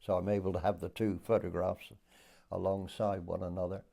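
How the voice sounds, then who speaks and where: Elderly man, late 80s. Low, gravelly voice, halting and reflective interviewee recalling the past. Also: flat delivery, dark tone. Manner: hesitant, pausing, unhurried recollection. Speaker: oral history interviewee. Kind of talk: reminiscing in conversation.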